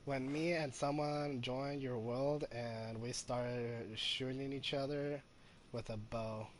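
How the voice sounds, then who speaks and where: A man's voice: mid-range voice, steady tone, slow pace, slight background noise. A man hosting a gaming video with casual, informal commentary, repeating points for emphasis.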